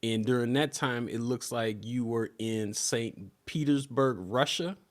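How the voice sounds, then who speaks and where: A man hosts an interview and asks his guest questions. He answers her with short, encouraging words. His voice sits at a middle pitch for a man. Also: measured pace. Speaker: interview host. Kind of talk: conversational interview.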